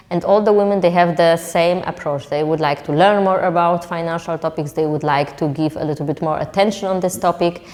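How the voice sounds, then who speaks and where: Guest, female, from Poland, lower voice, hesitant, long room reverb. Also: a little mellow. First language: Polish. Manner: hesitant, repeating words, many fillers. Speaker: entrepreneur guest. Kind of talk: interview answers.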